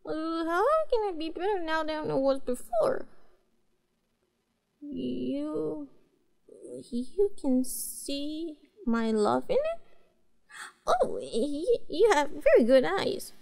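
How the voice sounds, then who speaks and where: Cute neko girl: high, swooping voice, childlike and earnest, for roleplay audio. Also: big pitch swings, gravelly, a little mellow, exaggerated voice. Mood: touched, puzzled. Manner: hesitant, childlike, halting phrasing. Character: cute, earnest, naive young cat-girl pet. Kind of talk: roleplay audio drama, speaking to the listener.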